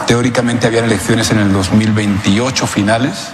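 A low-voiced man answering questions in a hesitant, measured way, often restarting his words. The sound is roomy and echoey, with clear background noise around him. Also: fairly even intonation. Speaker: interviewee businessman.

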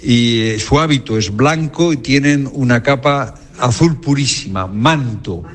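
A man's voice: mid-range voice, unhurried. A man reporting live for radio from inside a convent parlor, talking with plenty of fillers and restarts. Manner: hesitant, many fillers and restarts. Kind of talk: on-location radio interview.

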